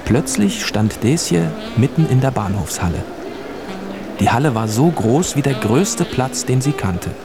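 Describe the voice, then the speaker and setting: Animated male storybook narrator. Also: mid-range voice, unhurried, over music. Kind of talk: scripted storybook narration for radio drama.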